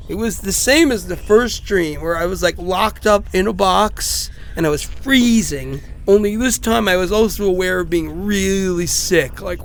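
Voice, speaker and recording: A man's voice: high voice, a little hiss or hum. A man playing a sick, stranded man who rambles anxiously and wryly through recorded messages in a horror audio drama. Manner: rambling, conversational, hesitant, wry. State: sick with a cough.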